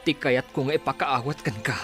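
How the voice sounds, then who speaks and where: A man's voice: medium pitch, fairly quick, loud music behind the voice, lightly acted. A man with a Filipino accent playing an earnest villager in a radio drama.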